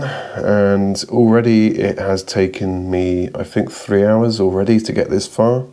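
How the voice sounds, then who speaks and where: A man with a hoarse, croaky voice from a sore throat, narrating his vlog in a chatty, rambling, self-mocking way. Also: low-pitched, mellow, no room sound. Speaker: vlogger and meditation maker.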